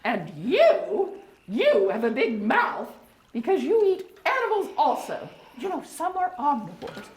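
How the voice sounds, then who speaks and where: A woman plays a prim, kindly beaver lady hosting a puppet-show tea party, her voice sitting extremely high and swooping widely. She speaks slowly and sweetly, fluttering every line with gentle "oh"s. The sound is echoey, with a faint hiss underneath. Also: huge pitch swings, heavily acted. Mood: teacherly. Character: genteel female beaver hostess, kindly and proper. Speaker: puppet show hostess and teacher. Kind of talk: children's educational puppet show. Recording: echoey room with faint noise.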